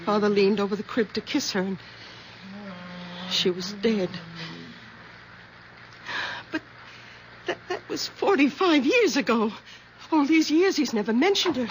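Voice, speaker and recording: Worried daughter in her forties, slightly high voice, very hissy old broadcast. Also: animated, measured pace, long pauses. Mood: sad. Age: 40s. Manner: anxious, tender, faltering. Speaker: devoted daughter. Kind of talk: 1970s radio drama acting. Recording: old radio broadcast with loud hiss.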